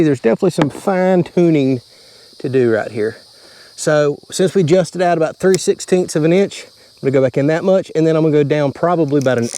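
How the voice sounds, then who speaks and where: A man's voice: a little high, darkish tone. Man explaining radio tuning step by step. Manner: plain-spoken, step-by-step explaining. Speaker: channel host. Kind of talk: casual how-to vlog. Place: inside a vehicle.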